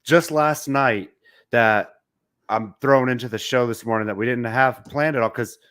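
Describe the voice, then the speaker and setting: A man co-hosting a casual chat show, hoarse from a sore throat, talking quickly with frequent restarts. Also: medium pitch, fairly bright tone, no echo, studio quality. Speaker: podcast co-host.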